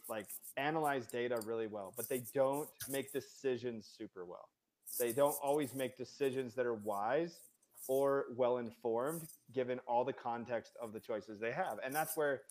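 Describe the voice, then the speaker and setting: A man appears as a guest on a casual podcast and talks at an ordinary, middle pitch for a man. He rambles in a relaxed way, with plenty of ums and likes as he works through his points. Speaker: guest, financial advisor for dentists. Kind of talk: casual interview.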